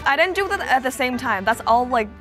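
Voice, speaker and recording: A woman's voice: sits high, expressive, brisk, music in the background. A young woman whose first language is Cantonese, a game show contestant, talking eagerly in casual chat.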